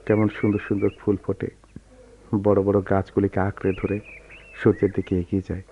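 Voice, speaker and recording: Sad brooding lovelorn man in an old radio play, poetic, lowish voice, muffled tape. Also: monotone, fairly quick, husky. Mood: reflective. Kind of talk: Bengali radio drama acting. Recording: dull lo-fi radio recording with noise.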